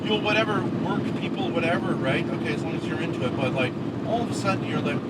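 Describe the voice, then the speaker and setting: A middle-aged American man argues in a fairly high-pitched voice, bitter and full of accusations and swearing. He is livestreaming from a car, and loud engine and road noise runs behind him. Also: quick pace. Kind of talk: heated argument on a live road-trip stream. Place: inside a moving car.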